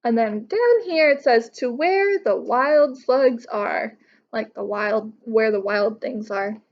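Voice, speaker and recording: High-voiced, unhurried woman reading to children. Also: lo-fi sound. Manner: instructive, addressing children. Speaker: teacher reading to young pupils. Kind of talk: picture-book read-aloud with explanations for children. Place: living room couch at home.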